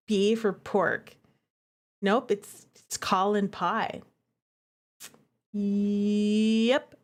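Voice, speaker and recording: Female game streamer, high voice, chatty and theatrical, talking straight to her audience. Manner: animated, theatrical, acts out reactions; conversational asides to chat. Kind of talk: casual livestream commentary.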